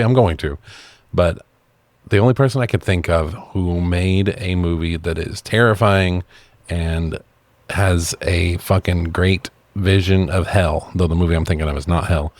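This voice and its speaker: A man's voice: low-pitched, unhurried, a little mellow, no room sound. A man co-hosting a casual film review chat, talking informally and swearing freely. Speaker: podcast co-host reviewing films.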